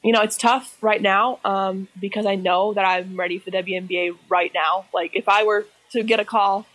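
A woman's voice: mid-range voice, fairly even intonation, moderate pace, a bit bright, low-quality recording. A woman in her twenties, a basketball player, talking casually and confidently in an interview. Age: 20s. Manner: self-assured.

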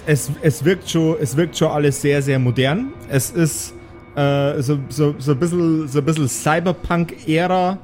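A man narrating an improvised tabletop game as game master, describing scenes in a halting, searching way. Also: a little high, a little mellow, soft background music.

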